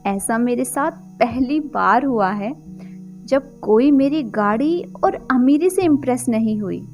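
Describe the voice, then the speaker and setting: A woman's voice: a little high, expressive, takes it slowly, darkish tone, faint music behind. A woman storyteller voicing a rich, lovelorn young bachelor who talks to his buddy in a casual, wistful way. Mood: wistful, complaining.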